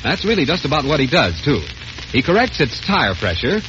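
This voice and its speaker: High, lively American radio announcer. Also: male voice, fairly quick, background noise. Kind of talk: 1930s radio announcing, scripted sponsor read. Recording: muffled old radio broadcast with music.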